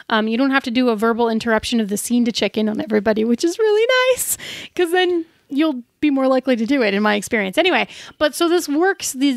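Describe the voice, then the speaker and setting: A woman's voice: on the high side, no echo, clean studio sound. A woman co-hosting a podcast, explaining things in a casual, conversational way. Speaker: podcast co-host. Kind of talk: casual chat about tabletop game advice.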